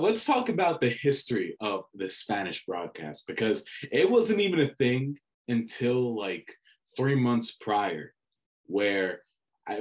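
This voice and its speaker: A young man, a student sports broadcaster, telling a story in casual conversation, animated and full of restarts and fillers. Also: medium pitch. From Dominican family background. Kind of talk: casual reflective chat.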